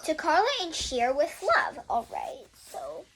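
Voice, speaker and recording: High, animated young girl reading aloud. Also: unhurried, slight background noise. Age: child. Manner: explains and digresses often. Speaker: host reading a children's book aloud. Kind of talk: casual read-aloud with chatty asides to viewers.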